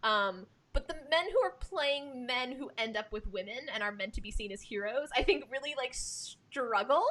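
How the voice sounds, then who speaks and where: A woman who works as a theatre director, talking casually in a discussion in an emphatic, expressive way, repeating words for stress. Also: normal speed. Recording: clear background noise with low rumble.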